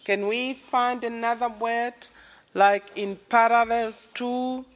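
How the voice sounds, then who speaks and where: Slow-paced woman chairing a committee. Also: fairly high pitch, fairly even intonation. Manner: slow, procedural, calling for objections. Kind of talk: chairing a formal meeting. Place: large conference hall. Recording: long reverb of a large hall.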